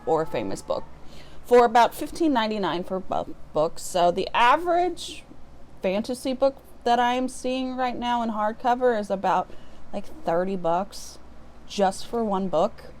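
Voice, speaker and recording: A woman's voice: fairly high pitch, animated, a little slow, a little mellow, slight background noise. A woman chatting casually to viewers as a book vlogger, talking in an informal, self-deprecating way.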